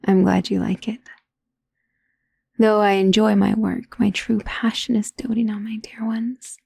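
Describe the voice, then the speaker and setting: ASMR roleplay role: woman as ancient vampire mistress, doting and possessive. Dark, creaky, soft delivery with genteel endearments, slipping into whispers. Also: mid-range voice, little pitch movement, dry recording. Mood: doting, guarded. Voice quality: soft, breathy, often dropping to a whisper. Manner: slow, tender, teasing, genteel endearments. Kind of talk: ASMR roleplay, soft intimate acting to the listener.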